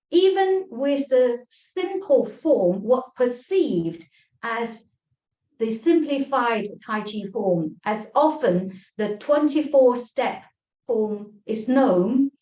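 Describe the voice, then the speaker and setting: A woman whose first language is Chinese, giving an earnest online tai chi lecture in English, measured, with frequent pauses and restarts. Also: a little high, darkish tone. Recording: roomy, distant, dull lo-fi sound.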